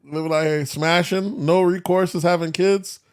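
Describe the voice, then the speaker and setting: Male podcast co-host, slightly high, crisp voice. Loose, profane, opinionated, slangy talk that wanders. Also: steady tone, moderate pace. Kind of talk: casual opinionated podcast chat.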